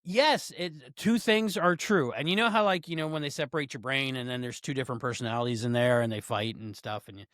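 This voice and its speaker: A man's voice: medium pitch, brisk, hardly any pauses, fairly bright tone, no room sound, studio quality. A man co-hosting a podcast, chatting casually with a playful manner. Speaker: podcast co-host. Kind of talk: casual chat.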